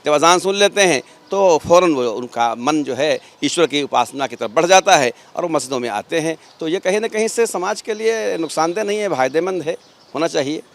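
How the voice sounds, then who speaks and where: A man's voice: a little high, expressive, a little fast. A man, a local worshipper, answering a TV reporter outdoors in an earnest, explanatory way, often rephrasing himself. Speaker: local worshipper interviewed by TV news. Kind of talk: street interview answers. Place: outdoors outside a mosque.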